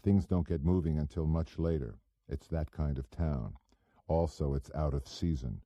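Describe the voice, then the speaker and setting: A man playing a terse, guarded hardboiled detective with a deadpan, challenging manner in an audiobook. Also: rumbling low, normal speed, no echo.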